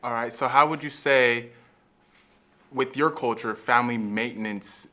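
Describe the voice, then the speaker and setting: A man's voice: medium pitch, unhurried, pushed and bright. A young man hosting a student interview, asking questions and cutting in with short, upbeat replies. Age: young adult.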